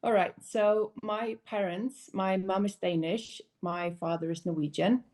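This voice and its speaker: A young woman from Norway, a guest on a podcast interview, talking casually and relaxed, laughing easily and restarting her sentences now and then. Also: medium pitch, measured pace. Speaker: guest, powerboat racer.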